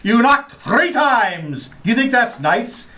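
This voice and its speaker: High-voiced, hammy poet. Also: male voice, big pitch swings, takes it slowly, noisy background, exaggerated voice. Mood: grandiose, eager. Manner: theatrical, declaiming rhymes. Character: hammy, grandiose doggerel poet, eager and florid. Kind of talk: old-time radio comedy acting.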